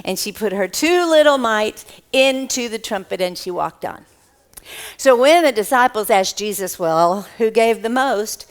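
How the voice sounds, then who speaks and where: Female children's church storyteller, high voice, theatrical delivery, repeats lines and poses questions. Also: lively intonation, normal speed. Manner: theatrical, repetitive, questioning the listeners. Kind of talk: live children's Bible storytelling.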